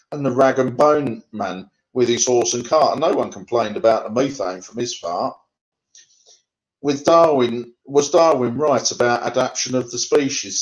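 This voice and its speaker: A man's voice: medium pitch, darkish tone, rough recording. A middle-aged man from England, a poet reading his rhyming verse on a video call, then answering questions in a conversational way with fillers. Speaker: poet guest. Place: home room.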